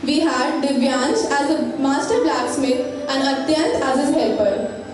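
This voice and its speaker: A woman's voice: high voice, expressive, a little slow. A young female student narrator giving a formal presentation from a stage. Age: young, school student. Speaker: student narrator introducing the cast. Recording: very echoey stage, music behind.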